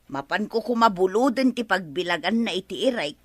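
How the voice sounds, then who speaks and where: Animated Ilocano village woman, played by an actress. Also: medium pitch, a little fast, no echo, natural voice. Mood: neighbourly, eager. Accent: Filipino, Ilocano. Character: village neighbour woman borrowing a drying mat, easily miffed. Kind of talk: broadcast radio comedy drama acting.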